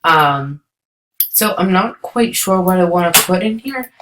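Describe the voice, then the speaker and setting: A woman giving chatty, rambling commentary while playing a building game, her voice going hoarse. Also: lowish voice, expressive, unhurried, noisy background. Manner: chatty, rambling, many ums. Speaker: let's play creator. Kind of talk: casual gameplay commentary.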